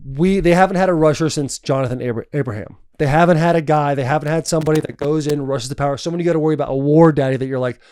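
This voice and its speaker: A man co-hosting a podcast, talking football in a relaxed, easygoing way with lots of casual filler. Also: on the high side, very fast, few pauses, a little hiss or hum. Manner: relaxed, talks in long runs with fillers like "dude". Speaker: podcast co-host, former football player. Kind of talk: casual sports chat.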